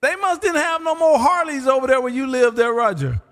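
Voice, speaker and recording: A man hosting a live ham radio stream, chatting casually and folksy, his voice going hoarse. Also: very high-pitched, animated, a little fast, fairly bright tone, big-room sound. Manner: laid-back, folksy, says "man" often. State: losing his voice. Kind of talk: casual on-air radio chat.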